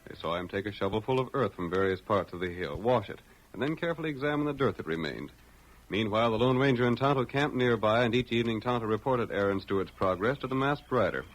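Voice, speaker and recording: Low-voiced male radio narrator. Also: animated, quick pace, rough recording. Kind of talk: 1940s radio drama narration, scripted.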